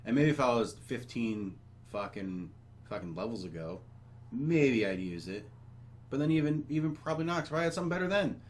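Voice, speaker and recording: A man's voice: mid-range voice, very animated, brisk. A man chatting casually about games as a podcast host, complaining bluntly and sardonically with plenty of swearing. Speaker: video game podcast host.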